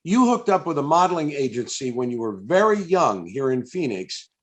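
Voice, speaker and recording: A man's voice: fairly high pitch, big pitch swings, moderate pace. An older American man interviewing a guest in a casual, effusive, reminiscing way, often repeating himself. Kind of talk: casual, chatty live interview. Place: indoors on a video call.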